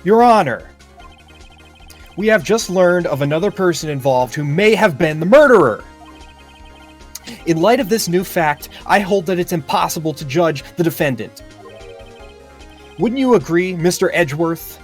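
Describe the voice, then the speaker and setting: A man's voice: high-pitched, expressive, moderate pace, long pauses, soft background music. Man playing a theatrical, swooping defense lawyer. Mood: pressing, confident. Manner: theatrical, pointed questioning. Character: confident, needling young male defense lawyer. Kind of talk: improvised video game dubbing.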